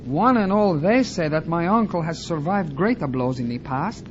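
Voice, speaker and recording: A muffled, noisy recording holds a man acting a young South American sidekick in a radio adventure serial. His voice is fairly high, with a Spanish accent, and he speaks formally, earnest and sorrowful. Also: expressive, moderate pace, close to an everyday voice. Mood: troubled, mournful. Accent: Latin American Spanish. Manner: formal, solemn, deferential. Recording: muffled recording with clear background noise.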